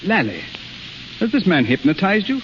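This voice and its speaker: A man's voice: sits high, wildly swooping pitch, moderate pace. Stern older father, muffled radio drama. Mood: pained concern. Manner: grave, formal, authoritative. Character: stern, protective older father, a respected surgeon. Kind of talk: 1930s radio drama acting. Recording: muffled, noisy 1930s radio recording.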